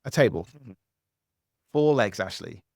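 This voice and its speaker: Animated man coaching. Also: mid-range voice, unhurried, no room sound, clean studio sound. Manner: animated, acts out other people's voices. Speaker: public speaking coach, podcast guest. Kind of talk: conversational interview answer. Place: at home.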